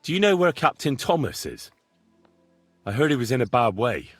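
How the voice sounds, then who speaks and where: A husky young hero. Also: male voice, medium pitch, big pitch swings, normal speed, faint music behind. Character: young man, earnest and probing hero. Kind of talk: game dialogue.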